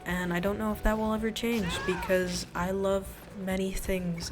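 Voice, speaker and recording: A woman hosting her own channel, talking casually and sincerely to her viewers in a personal way. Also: mid-range voice. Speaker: video creator and channel host. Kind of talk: casual, earnest talk to viewers over gameplay. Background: music.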